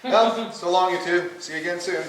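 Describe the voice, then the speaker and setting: An actor playing an affable, smooth-talking rival suitor in a stage play rehearsal. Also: male voice, high voice, brisk, a bit bright. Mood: polite, eager. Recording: distant echoey rehearsal room, hiss.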